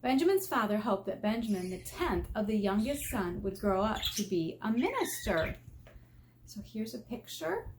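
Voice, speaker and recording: An American woman reading a picture book aloud as an elementary teacher, expressive and teacherly, stressing key words and putting questions to her young listeners. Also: medium pitch, moderate pace, background noise. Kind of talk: children's read-aloud with explanatory asides.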